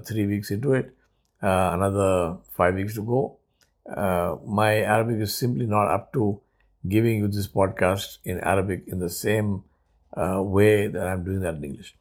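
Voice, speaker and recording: A man in his seventies from India, whose first language is Urdu, hosting a solo podcast in a thoughtful, unhurried way with many pauses. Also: fairly low pitch, darkish tone. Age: 70s. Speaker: podcast host. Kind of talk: reflective solo podcast monologue.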